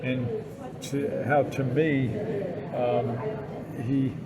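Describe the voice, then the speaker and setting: An older man co-hosting a casual podcast interview, talking in hesitant, halting phrases. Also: medium pitch, little pitch movement, a loud crowd, poor audio. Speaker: podcast co-host.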